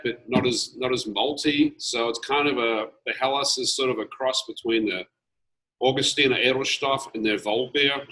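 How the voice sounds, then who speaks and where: A man's voice: medium pitch, pushed and bright, low-quality recording. An Australian-born man with a mild, Americanized Australian accent, a brewmaster answering casually and bluntly with many ums. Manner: easygoing, opinionated, many ums. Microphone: video call.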